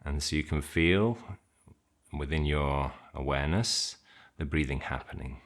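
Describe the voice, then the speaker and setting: A man leading an unscripted guided meditation, giving simple instructions in an informal, gently hesitant way. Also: a bass voice, a little slow.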